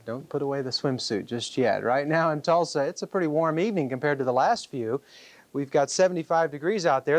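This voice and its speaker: Male weather forecaster, quick pace, slightly high voice, conversational TV delivery. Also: dry recording.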